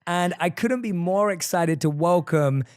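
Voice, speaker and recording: A man's voice: high-pitched, lively intonation, measured pace, a little mellow, clear, resonant tone, dry recording. British guy hosting a podcast, dry close mic, curious questions. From Britain. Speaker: podcast host. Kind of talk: podcast conversation.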